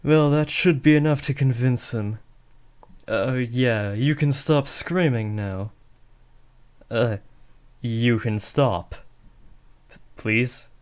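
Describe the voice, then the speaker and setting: A man's voice: medium pitch, slow pace, long pauses, smooth, close to an everyday voice. A young man playing a villain's teenage son in a scripted roleplay, taunting theatrically and slipping into sheepish, casual talk. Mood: sheepish, awkward. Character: teenage villain's son playing at kidnapping, cocky but awkward. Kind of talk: scripted roleplay audio addressed to the listener.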